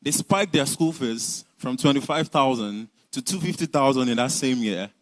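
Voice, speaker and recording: A man's voice: medium pitch, expressive, normal speed, a bit bright. Earnest Nigerian man telling his story. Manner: earnest, reflective, with light laughs. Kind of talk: personal storytelling talk.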